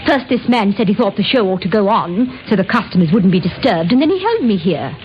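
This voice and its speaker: A woman's voice: mid-range voice, very animated, brisk, natural voice. An actress playing a brash, unflappable young nightclub chorus girl in an old radio crime drama, pert and impatient. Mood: impatient, saucy. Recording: dull old radio recording, music behind.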